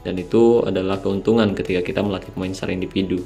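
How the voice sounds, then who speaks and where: Young man, low flat voice, slightly nasal, drowsy, laid-back game tutorial talk. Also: racing, vocal fry, faint music behind. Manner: relaxed, keeps calling viewers "guys". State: sleepy, late at night. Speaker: mobile game channel host. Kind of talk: casual gameplay tutorial voice-over.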